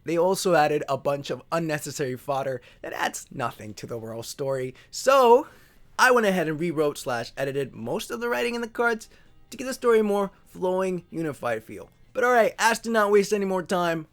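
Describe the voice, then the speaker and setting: A man's voice: on the high side, animated, fairly quick. A man hosting an informal video introduction, wry, joking and self-deprecating. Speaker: host.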